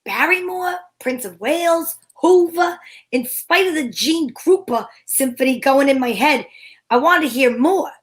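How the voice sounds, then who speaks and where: A woman's voice: high-pitched, lively intonation, unhurried. A woman playing a wisecracking female detective, narrating her adventure in wry, slangy old-time radio style.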